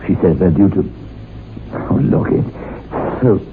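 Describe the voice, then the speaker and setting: An actor playing a worried, weary husband who speaks hesitantly and nervously, in a radio horror drama. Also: male voice, sits low, unhurried. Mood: reluctant. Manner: hesitant, subdued. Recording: tinny, hissy old radio drama recording.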